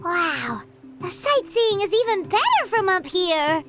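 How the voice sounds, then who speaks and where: A woman voicing a tiny, excitable, childlike floating fairy companion in a video game, with a squeaky delivery. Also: a little slow, exaggerated voice. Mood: delighted. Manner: squeaky, talks about herself in third person. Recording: game music behind the voice.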